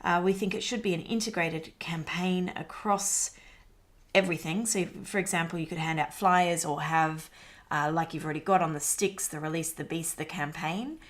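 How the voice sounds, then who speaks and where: A woman's voice: lowish voice, steady tone, normal speed. A woman presenting a marketing assignment as a casual screen-recorded walkthrough, talking conversationally with frequent fillers. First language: English.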